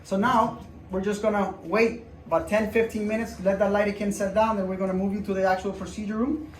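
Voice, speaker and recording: High-pitched male doctor in a roomy clinic. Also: little pitch movement, a little fast. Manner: informal, reassuring, repeats himself while demonstrating. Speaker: doctor performing a hand procedure. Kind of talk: casual procedure walkthrough to patient and viewers. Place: indoor clinic room. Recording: roomy, distant mic with background noise.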